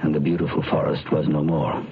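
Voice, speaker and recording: A man narrating a storybook-style public service announcement in a gentle, solemn storytelling manner. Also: extremely low pitch, level tone, brisk. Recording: dull old radio recording with loud music. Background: loud woodwind music.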